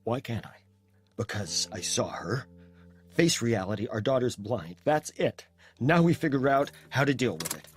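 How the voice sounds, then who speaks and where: A blunt, weary middle-aged father played by a man in an audio drama, stern and exasperated. His voice is mid-pitched and swings widely, with a faint hiss behind. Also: lively intonation, normal speed, natural voice.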